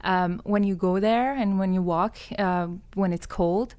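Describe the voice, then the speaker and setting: A woman guest with a mid-range voice, speaking English as a with as her first. She tells anecdotes in a relaxed studio conversation. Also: medium pitch, unhurried. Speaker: guest journalist. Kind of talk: casual chat.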